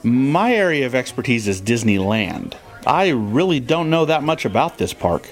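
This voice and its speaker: A man's voice: medium pitch, big pitch swings, normal speed, soft background music. A man playing an eager, slightly clueless chef friend in a comedy audio drama, earnest and ribbing. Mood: earnest, curious.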